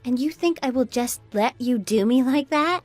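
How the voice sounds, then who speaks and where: Casting: fed-up young wife and mother, played by a woman, fairly high voice, argumentative, radio drama, dry sound, faint music beneath. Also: moderate pace, no room sound. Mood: indignant, reproachful. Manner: argumentative, sarcastic, pointed. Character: indignant, fed-up young daughter-in-law and mother. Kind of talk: scripted radio drama dialogue.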